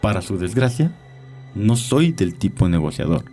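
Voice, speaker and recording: A low-voiced, courtly old vampire doctor. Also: male voice, sing-song, dark tone. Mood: controlled, clinical. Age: older. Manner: courteous, measured, wise-sounding, coldly menacing. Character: elderly cunning male vampire posing as a doctor. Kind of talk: dramatized audio story acting. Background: prominent music.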